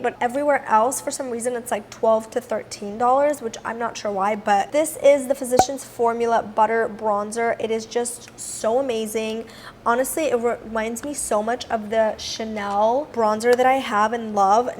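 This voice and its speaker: Raspy-voiced young woman vlogger. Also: a little high, slight background noise. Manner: chatty, upbeat, lots of fillers. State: raspy voice from a recent illness. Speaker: beauty vlogger. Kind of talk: casual product review to camera. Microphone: clip-on mic on top.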